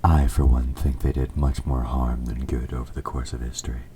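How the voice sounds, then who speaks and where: Evil fantasy king for audio drama, played by a man: extremely deep, dark tone, measured courtly diction, smooth-talking with quiet menace. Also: little pitch movement. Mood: earnest, persuasive. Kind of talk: scripted audio roleplay addressed to the listener. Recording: faint background noise.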